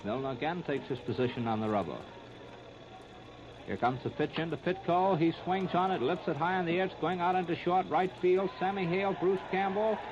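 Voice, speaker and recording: A man's voice: high-pitched, fairly even intonation, a little fast. An American man calling a baseball game play by play in 1930s radio style. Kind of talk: 1930s radio sports commentary. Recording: scratchy, muffled 1939 radio disc recording.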